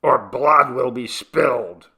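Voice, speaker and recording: A man's voice: fairly high pitch, big pitch swings, takes it slowly, bright, forceful tone, breathy edge, pitched above the performer's own voice, heavily acted. A man voicing an urgent villager in a storybook, pressing his companions insistently. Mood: defiant. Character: urgent hushed male villager hurrying his companions along. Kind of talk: audiobook story acting.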